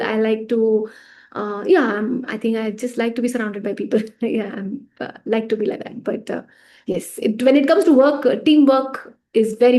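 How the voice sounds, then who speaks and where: Woman, slightly high voice, chatty, laughs often, trailing quiet endings. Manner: lively, laughs often, trails off softly at phrase ends. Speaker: pranic healing teacher and center organizer. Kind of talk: casual interview answers.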